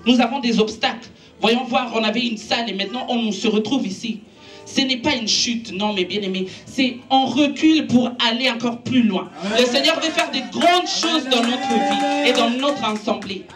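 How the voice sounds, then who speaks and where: A woman's voice: normal speed, faint music behind. Woman preacher, Italian native speaker, distant mic. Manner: earnest, exhorting, rising in pitch and force when preaching. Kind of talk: sermon. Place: church gathering in a makeshift space.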